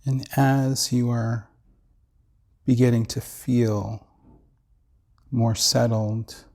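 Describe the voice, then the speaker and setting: A man with a slightly creaky voice guiding a body scan meditation in a measured, soothing way with long pauses. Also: mid-range voice, little pitch movement, darkish tone. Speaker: meditation teacher.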